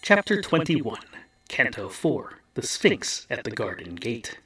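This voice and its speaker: A man's voice: mid-range voice, sing-song, slow pace, pushed and bright, husky, dry recording. A man narrating a comic fantasy story in a wry, dramatic scripted style.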